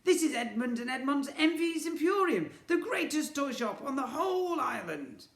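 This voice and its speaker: A smug, boastful villain from a children's storybook, acted out by a man. The voice is pushed high and swoops about with theatrical, spluttering scorn. It rings in a roomy space. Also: very animated, moderate pace, fairly bright tone, exaggerated voice. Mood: indignant, boastful. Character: pair of smug, gloating villainous twin male shopkeepers.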